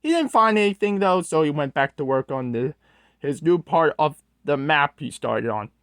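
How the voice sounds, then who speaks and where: Someone doing an awkward flustered storyteller guy, halting, pitch all over the place, dry close sound. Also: expressive, fairly bright tone, no echo. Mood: narrating. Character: Strong-Arm, awkward, scatterbrained storyteller host character. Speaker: storyteller host. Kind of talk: reading a scripted creepypasta story aloud.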